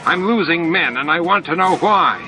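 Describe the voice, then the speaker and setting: A man voicing a fierce, gruff male alien commander in a science fiction audiobook, growling terse military orders. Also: a little high, lively intonation, normal speed, over music, voice pushed up, lightly acted, rough recording. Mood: angry, demanding.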